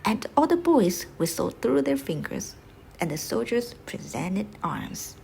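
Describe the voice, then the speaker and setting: A woman reading a bedtime fairy tale expressively, with dramatic delivery that often drops to a breathy hush. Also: medium pitch, very animated, unhurried, slight background noise, rough recording. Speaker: storyteller.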